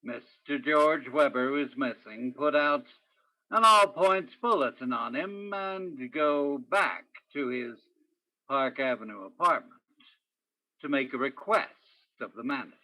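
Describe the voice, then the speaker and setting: Bright-voiced, brooding noir detective played by a man. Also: mid-range voice, lively intonation, unhurried, low-quality recording. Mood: brisk, businesslike. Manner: dramatic, poetic, clipped hardboiled phrasing. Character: world-weary male police detective narrating his own case. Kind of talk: 1950s radio noir acting.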